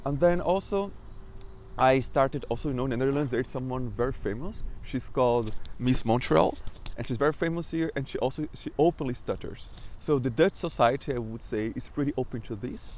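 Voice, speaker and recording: A man's voice: mid-range voice, a little fast, darkish tone, a little hiss or hum. Brazilian man who stutters. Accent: Brazilian Portuguese. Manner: stutters, with repetitions and restarts. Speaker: vlogger. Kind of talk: casual personal vlog.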